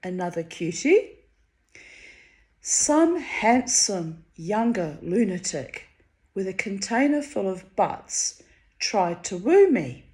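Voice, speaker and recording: A Hungarian-born woman reading her own diary entries aloud, wry and theatrical, her voice swinging high and low for effect. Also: very animated, takes it slowly. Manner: wry, theatrical, dramatic swings of pitch and loudness.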